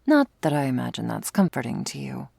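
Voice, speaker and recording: A woman playing a sardonic, predatory vampire with a secret wistful side, in an intimate close-miked ASMR roleplay, wry and teasing, sometimes slipping into a breathy whisper. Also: rumbling low, very animated, a little fast, mellow, no room sound, full character voice. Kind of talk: intimate ASMR roleplay, scripted monologue to listener. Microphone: close, dry studio mic.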